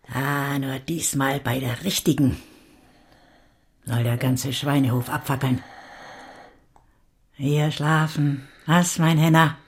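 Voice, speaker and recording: An actor in an audio drama playing a coarse, gloating village man with a husky voice and a crude, sneering manner. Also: medium pitch.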